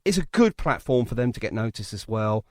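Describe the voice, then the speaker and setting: A man's voice: mid-range voice, very animated, fairly quick, a little mellow, no room sound, clean studio sound. A middle-aged man hosting a chatty music interview, talking in an enthusiastic, rambling way. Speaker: radio and podcast host.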